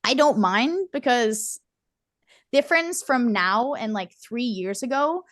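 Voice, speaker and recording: A woman's voice: a little high, takes it slowly, no room sound. A woman talking passionately and animatedly in a podcast interview, acting out what others say. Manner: passionate, animated, quotes people in voices. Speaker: non-profit board member and content creator.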